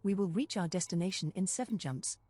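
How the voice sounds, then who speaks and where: A woman voicing a calm, formal spaceship computer giving status announcements in a space game. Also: on the low side, a little fast, a crowd in the background, close to an everyday voice. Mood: composed. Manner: composed, formal.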